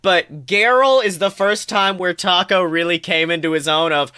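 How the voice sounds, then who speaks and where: Male podcast co-host, sharp bright tone in a dry space, chatty and expressive storyteller. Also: sits high, normal speed, clean tone, dry recording. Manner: animated retelling with acted-out quotes, casual swearing. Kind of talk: casual fan discussion.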